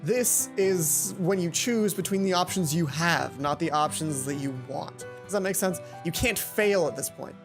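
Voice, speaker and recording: A woman's voice: fairly low pitch, normal speed, few pauses. A woman hosting a let's play, chatting casually and playfully with some self-deprecation. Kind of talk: casual gaming commentary mixed with reading dialogue aloud. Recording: music playing behind the voice. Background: game music.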